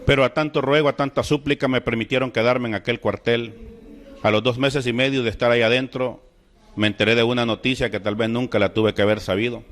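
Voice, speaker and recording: A middle-aged man from El Salvador with a Salvadoran Spanish accent, slowly and solemnly telling his personal religious testimony. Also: mid-range voice, fairly even intonation, a bit bright. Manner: slow, deliberate, solemn storytelling with emotional rises. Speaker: testimony speaker.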